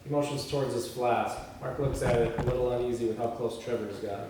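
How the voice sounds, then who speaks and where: A man's voice: medium pitch, steady tone, fast talker. Echoey, distant man reading a script. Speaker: filmmaker reading stage directions at a table read. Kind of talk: script read-aloud mixed with casual discussion. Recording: echoey room, distant mic, faint noise.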